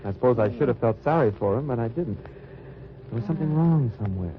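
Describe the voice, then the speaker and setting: An actor playing a dry, wry undercover agent who narrates in first person in a 1940s radio drama. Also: male voice, medium pitch, expressive, a little fast, noisy background, close to an everyday voice. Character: hard-bitten American undercover agent narrating. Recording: lo-fi old radio transcription.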